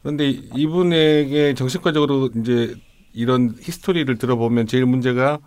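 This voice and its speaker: A man speaking as a psychiatrist on a radio panel, giving conversational advice in a measured manner. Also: medium pitch, fairly even intonation, a little mellow, clean tone, dry recording.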